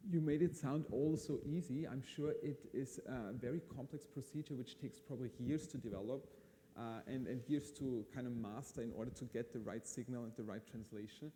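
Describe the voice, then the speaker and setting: Mellow Austrian man hosting in a hall. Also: mid-range voice, brisk. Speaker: event host. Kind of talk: live on-stage interview, informal and conversational. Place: large hall at a live event in Austria. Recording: hall reverb, audible room noise.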